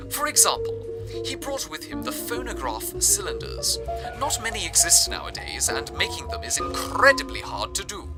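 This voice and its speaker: Man playing a theatrical, higher-pitched radio host. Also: exaggerated voice. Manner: florid, dramatic, deliberate phrasing. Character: grandiose, genteel male radio host in a fictional city. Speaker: fictional radio show host. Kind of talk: theatrical old-time radio broadcast monologue.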